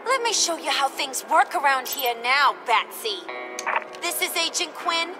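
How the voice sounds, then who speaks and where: Brash game villain girl, high voice, swings all over, sing-song taunting, music behind. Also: lively intonation, unhurried. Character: brash, cocky, taunting female criminal clown turned government agent. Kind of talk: video game character acting.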